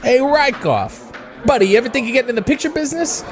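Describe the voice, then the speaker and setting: Man playing a high-pitched, animated, chummy wrestler. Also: quick pace. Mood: eager, chummy. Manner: eager, rambling, animated. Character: chatty, coked-up 1980s wrestler giving a friendly pitch.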